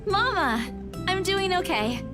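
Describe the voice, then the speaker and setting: A woman's voice: very high voice, animated, slow, music in the background, heavily acted. An actress playing an anxious, dutiful young woman in a visual novel game. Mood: caring, cheerful front.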